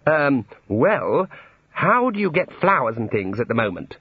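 A man's voice: mid-range voice, huge pitch swings, fairly quick, breathy edge, dry recording, narrow, tinny audio, exaggerated voice. A man voicing an earnest, exasperated young wizard scholar, gloomy, hesitant and awkwardly polite, in an audiobook story. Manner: hesitant, gloomy, long-suffering.

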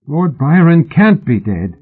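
Man acting an anxious, blurting companion, lively pitch. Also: moderate pace, breathy edge, narrow, tinny audio. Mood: outraged. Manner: worried, blurting. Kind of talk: audiobook character acting.

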